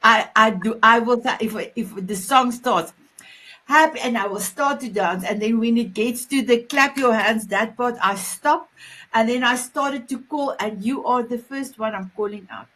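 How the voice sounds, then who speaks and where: South african afrikaans lady hosting, kinda high voice, super affectionate and gushy, stumbles over words. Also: sharp tone. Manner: gushing, affectionate, halting with repeated words. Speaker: show host. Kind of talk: effusive group talk show hosting.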